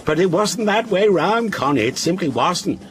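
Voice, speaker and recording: An actor in a radio drama playing a wistful, contrary older man who tells stories in a deliberate, lyrical and insistent way. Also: high voice, animated, slight background noise. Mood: insistent, challenging. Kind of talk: radio drama acting, storytelling.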